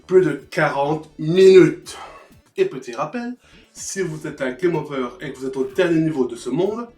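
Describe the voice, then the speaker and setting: A man's voice: on the high side, animated, moderate pace, warm tone. Sarcastic male game reviewer, echoey room. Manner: sarcastic, foul-mouthed. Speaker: host of a retro video game review show. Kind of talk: humorous scripted review narration. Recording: roomy echo, music behind.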